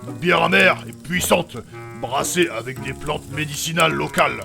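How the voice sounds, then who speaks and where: Man playing a gravelly, macho fantasy barbarian. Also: on the high side, normal speed. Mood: matter-of-fact. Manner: blunt, sardonic, slangy. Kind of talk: fantasy comedy audio drama. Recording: music behind the voice.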